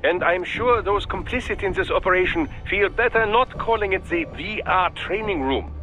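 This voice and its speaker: An actor playing a fussy, hesitant cyborg surgeon in a game cutscene, formal and prone to stalling with "uh". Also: male voice, high-pitched, moderate pace, pushed and bright, soft background music. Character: hesitant cyborg surgeon doctor, middle-aged man, fussy. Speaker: doctor.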